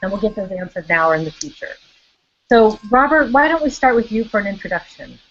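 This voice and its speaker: A woman's voice: medium pitch, expressive, a little fast, dull audio. A woman hosting an online interview over a video call, upbeat and eager as she welcomes her guests. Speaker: interview host.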